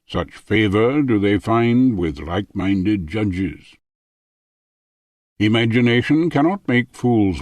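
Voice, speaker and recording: A man narrating a philosophical audiobook in a formal, measured manner. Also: fairly low pitch, a little mellow, no echo. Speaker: audiobook reader.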